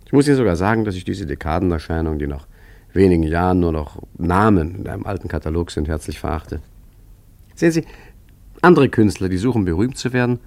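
A man's voice: sits low, creaky, darkish tone. A man playing an urbane, boastful art forger in a radio play, chatting smugly with many asides and tag questions. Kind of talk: radio drama monologue, conversational storytelling.

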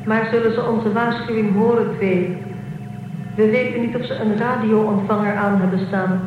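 A woman's voice: medium pitch, steady tone, natural voice. Lady doing cool controlling android guide, radio drama, distant echoey noisy sound. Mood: cool, questioning. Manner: deliberate, instructing. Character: composed, scheming female android guide. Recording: very echoey, thin phone-like band, noisy, distant.

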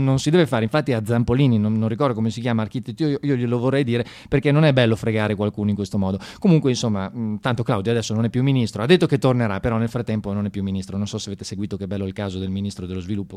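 A man performing a satirical theatre monologue, conversational and halting, with ironic mock praise and a readiness to get excited. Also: lowish voice.

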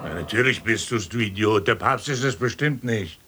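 An actor playing a testy, sarcastic radio performer who is exasperated and sharp-tongued. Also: male voice, on the low side, lively intonation, normal speed, a little hiss or hum.

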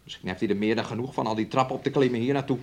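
An actor playing a domineering, resentful young fiancé in a radio play, with a taunting, needling way of talking. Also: male voice, mid-range voice, very fast, darkish tone, slight background noise, lightly acted. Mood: sullen, needling. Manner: speaks of himself and her in third person, taunting.

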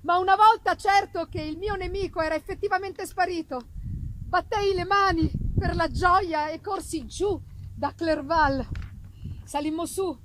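A woman with a high voice narrates fiction in the first person. Her reading is theatrical, expressive and deliberate. It is a live recording with clear background noise. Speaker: reader. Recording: noisy live festival recording.

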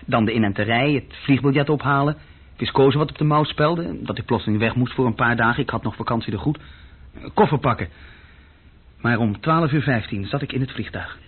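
Guy playing restless young fella telling his story, bit husky, old muffled radio drama sound. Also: medium pitch, brisk, lightly acted. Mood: marvelling, animated. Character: restless young man recounting his adventure abroad. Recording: dull, lo-fi old radio recording.

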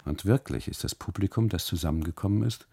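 A man's voice: deep voice, fast, vocal fry, darkish tone, slightly rough. A man performing a first-person literary monologue for radio, with theatrical, emphatic phrasing.

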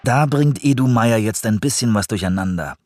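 A man's voice: on the low side, animated, moderate pace, a little mellow. A man narrating a scripted radio documentary.

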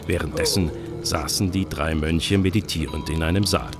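A man's voice: rumbling low, normal speed, loud music behind the voice. A man narrating a children's radio play in a scripted storytelling style.

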